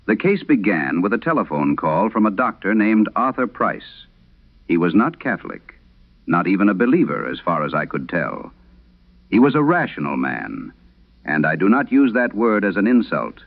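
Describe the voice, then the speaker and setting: A male actor as a haunted old Irish priest confessing in a horror radio play. His low voice is gravelly and grave, rising and falling dramatically as he speaks in measured, solemn phrases. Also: no echo, heavily acted. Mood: grave, confessional. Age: older. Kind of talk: dramatic first-person horror radio monologue. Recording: dull lo-fi vintage radio sound.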